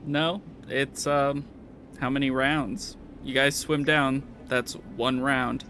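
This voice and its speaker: Bright, creaky-voiced man running a game. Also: mid-range voice, flat delivery, takes it slowly. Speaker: game master. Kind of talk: casual tabletop game narration. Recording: noisy, unclean home recording.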